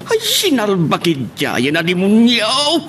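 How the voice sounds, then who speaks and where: A man's voice: high voice, wildly swooping pitch, a little slow, fairly bright tone, a little hiss or hum, exaggerated voice. A man playing an old, protective, indignant grandfather in a radio drama, with an Ilocano accent. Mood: alarmed, indignant.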